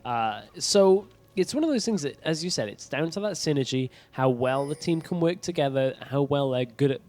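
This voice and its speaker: A man casting an esports match, teasing his co-caster with wry humor during a pause. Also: fairly high pitch, animated, a little mellow. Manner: wry, teasing, upbeat filler talk. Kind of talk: casual caster banter. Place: event stage in a venue.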